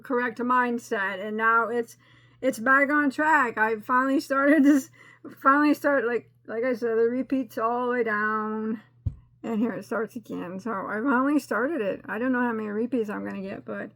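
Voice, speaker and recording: A woman talks casually about her crafting, her voice bright and a touch high. She rambles and restarts, joking about her own rookie mistakes. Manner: rambling and self-deprecating, with frequent restarts and laughs. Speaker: crochet channel host. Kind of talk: casual chatty crafting update.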